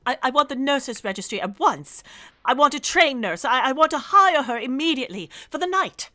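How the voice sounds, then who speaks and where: A high, bright-voiced, nervous invalid wife played by an actress in a radio thriller. She stammers and pleads insistently, her pitch leaping as she demands help in breathless agitation. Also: expressive, brisk. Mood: agitated, imperious. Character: anxious, demanding invalid wife alone at home, terrified. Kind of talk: radio play thriller acting.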